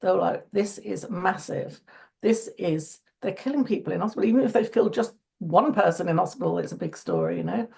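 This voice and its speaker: A woman speaking as an interview guest in earnest conversation, her voice croaky today and often restarting phrases. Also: fairly low pitch, fairly quick, darkish tone. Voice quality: gravelly.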